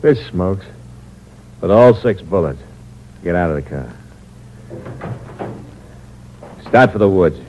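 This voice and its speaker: An actor playing a cold, scheming impostor and killer in a 1940s radio mystery, terse and slangy in a hard-boiled way. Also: male voice, low-pitched, lively intonation, normal speed. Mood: menacing. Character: scheming cold male impostor and killer narrating his crime. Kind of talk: 1940s radio mystery acting, confessional narration. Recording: muffled old radio recording, background hiss.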